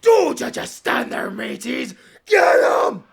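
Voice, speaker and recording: A woman's voice: on the high side, very animated, slow, vocal fry, raised voice, heavy background noise, exaggerated voice. A woman playing a menacing, gloating pirate boss in a theatrical, taunting drawl in an audio drama. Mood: furious.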